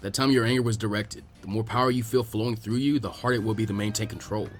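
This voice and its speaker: Guy doing a serious old ninja teacher in a story, measured and frank, faint music. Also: lowish voice, fairly even intonation, voice pushed down, lightly acted. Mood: serious, cautioning. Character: frank older male ninja master, grave mentor. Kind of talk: acted storybook dialogue.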